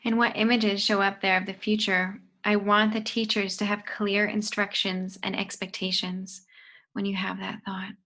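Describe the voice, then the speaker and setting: A woman guiding a self-inquiry session, asking questions slowly, gently and deliberately. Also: mid-range voice, little pitch movement. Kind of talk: slow guided questioning.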